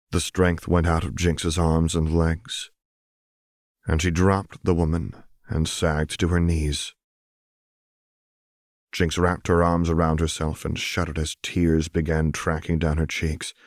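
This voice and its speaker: A man's voice: rumbling low, level tone, takes it slowly, no echo, clean studio sound. A man reading scripted fiction as a dramatic audiobook narrator.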